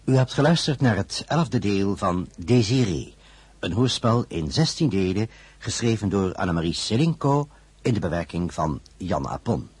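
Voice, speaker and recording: A male radio announcer with a low, very husky voice. He reads scripted credits with a lively melody, in a dry studio sound. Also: moderate pace, dry recording.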